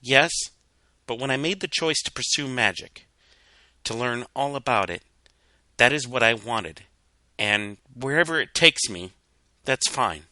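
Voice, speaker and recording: A man voicing a young female pony student of magic, soft-spoken and earnest, in a storybook reading. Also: medium pitch, animated, a little slow, long pauses, no echo, pitched above the performer's own voice. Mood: earnest, assured.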